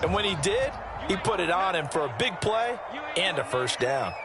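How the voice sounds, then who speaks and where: A man's voice: high-pitched, very animated, moderate pace, very noisy. A man with an American accent voicing an earnest color commentator explaining plays in a football video game. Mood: earnest, animated.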